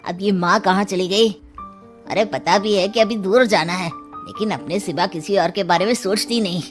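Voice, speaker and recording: A woman's voice: medium pitch, normal speed, over music, natural voice. A woman storyteller playing a selfish, impatient grown son with a grumbling, offhand manner. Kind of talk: storybook character acting.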